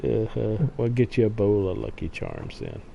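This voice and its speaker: A man's voice: lowish voice, wildly swooping pitch, moderate pace, creaky, dull audio. An American man chatting casually and folksily as he plays a game, joshing with a friend. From United States. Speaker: gaming channel host. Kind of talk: casual chat while playing a farming game. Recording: faint background noise.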